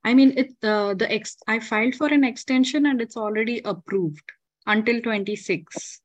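A woman's voice: fairly high pitch, normal speed, no room sound. A woman from India calling in, asking questions in a conversational way. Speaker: caller. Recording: dull, dry call audio.

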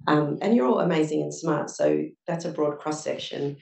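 A woman with a low, gravelly voice gives earnest advice on a panel, working through examples. She is recorded from some distance in a roomy, echoing event space. The sound has a live-event feel. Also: moderate pace, darkish tone. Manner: earnest, advisory, speaks in examples. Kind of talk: panel discussion answer. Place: event room with audience. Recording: echoey room from a distance.

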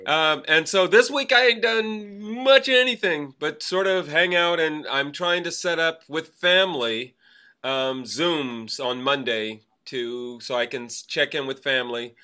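A man's voice: on the high side, measured pace, sharp tone, clean tone. A man co-hosting a podcast, chatting in an upbeat way and laughing easily. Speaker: podcast co-host. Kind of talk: casual chat.

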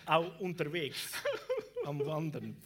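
A man's voice: a little high, animated, slow, fairly bright tone. A man interpreting a sermon live in short phrases, cheerfully mirroring the preacher's delivery.

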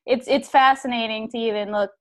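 A woman hosting a podcast, talking in a lively, chatty way and laughing often. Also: fairly high pitch, fairly quick. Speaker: podcast host. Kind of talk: casual podcast conversation.

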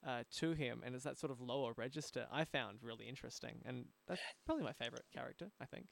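A man with a noticeably creaky, gravelly voice, recorded very dry. He hosts an interview conversationally, halting and doubling back on words. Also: medium pitch, no room sound. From Australia. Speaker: podcast host, audio drama producer.

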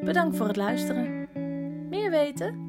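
A woman's voice: high-pitched, very animated, moderate pace. A woman reading scripted podcast closing credits as the announcer. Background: plucked guitar music.

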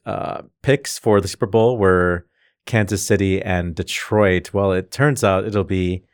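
A man's voice: low-pitched, fairly even intonation, unhurried, clear, resonant tone, no room sound, clean studio sound. A man co-hosting a podcast, joking in rambling casual banter with many fillers. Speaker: podcast co-host.

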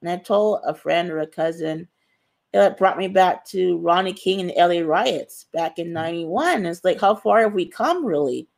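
A woman's voice: fairly low pitch, animated, normal speed, vocal fry, a little mellow. A woman author answering interview questions in an earnest, emphatic way, repeating phrases for stress. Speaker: author, guest.

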